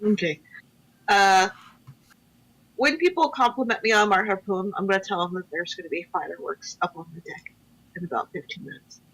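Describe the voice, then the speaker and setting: A woman's voice: mid-range voice, normal speed, fairly bright tone, husky, poor audio. A woman playing a tabletop game, casual, with short easygoing replies. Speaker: player.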